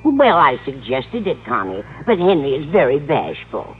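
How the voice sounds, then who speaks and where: A woman's voice: extremely low pitch, big pitch swings, normal speed, slightly rough, soft background music, full character voice. An actress playing a dotty, smitten older landlady in a radio sitcom, gushing fondly and stubbornly with dramatic emphasis. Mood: determined, happy. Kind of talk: 1950s radio sitcom acting. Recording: muffled, noisy old radio recording.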